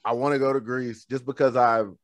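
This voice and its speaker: A man's voice: mid-range voice, flat delivery, brisk, no room sound, clean studio sound. Laid-back man hosting a podcast. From Oklahoma. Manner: laid-back, slangy, upbeat. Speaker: podcast host. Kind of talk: casual interview chat. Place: home studio room with shelves. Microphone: studio microphone on a boom arm.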